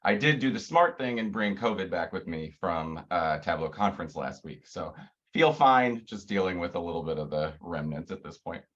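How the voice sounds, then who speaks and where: A man's voice: low-pitched, expressive, normal speed, fairly bright tone. A man from Ohio, co-hosting a user group session on a video call, slightly congested, laughing often and joking about himself. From Cincinnati, Ohio. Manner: laughs often, self-deprecating. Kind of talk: casual video call presentation. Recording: muffled lo-fi call audio.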